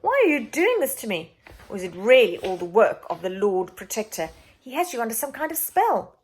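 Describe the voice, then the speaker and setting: A woman's voice: on the high side, big pitch swings, fairly quick, breathy edge, pitched above the performer's own voice. A woman voicing a proud, distraught queen in a children's story, spluttering and imploring with emphasis. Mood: desperate. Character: distraught proud queen accused of treason, pleading.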